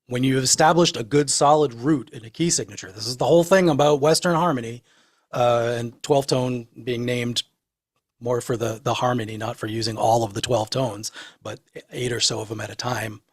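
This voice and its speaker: A man's voice: mid-range voice, moderate pace. A man co-hosting a music show, explaining theory conversationally in a reflective, wordy way, thinking aloud.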